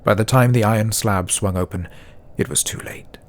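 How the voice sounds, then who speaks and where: A man reading a scripted fantasy story as narrator, with dramatic, deliberate phrasing. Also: on the low side, steady tone. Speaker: audiobook narrator.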